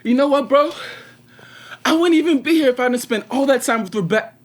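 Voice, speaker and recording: An actor playing an anguished, regretful 21-year-old prisoner in a confessional stage monologue with dramatic pauses. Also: male voice, extremely high pitch. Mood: anguished, agitated. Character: anguished 21-year-old male prisoner, former track star, bitter and regretful.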